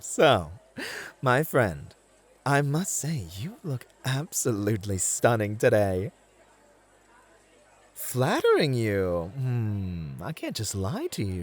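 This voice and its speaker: Man acting a lilting, flirty gentleman club host. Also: mid-range voice. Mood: flirty, attentive.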